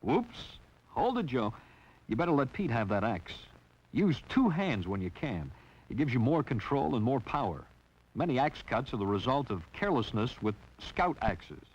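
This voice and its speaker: A man's voice: mid-range voice, very animated, moderate pace, darkish tone, slightly rough. A man narrating a 1960s training film in a wry, teasing scripted style. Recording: dull lo-fi 1960s film soundtrack.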